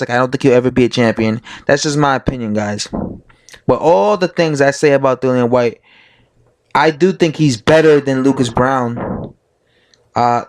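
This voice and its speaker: A man talks casually with a hoarse, slightly sick voice. He rambles through his opinions as a boxing vlogger. He stops to correct himself and fills gaps with "uh" and "like". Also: mid-range voice. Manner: rambling, full of fillers and self-corrections.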